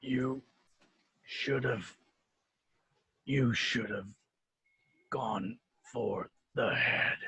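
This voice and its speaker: A man playing a weary, menacing alien warlord in an amateur table read, speaking in halting, solemn, terse words. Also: medium pitch, pushed and bright, breathy edge, dry recording, heavily acted. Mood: pained, menacing.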